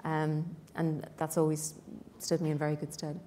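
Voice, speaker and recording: A woman's voice: low voice, completely flat delivery, moderate pace, mellow, slight background noise. A woman panelist speaking earnestly, often stopping to restart her sentences. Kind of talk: panel talk.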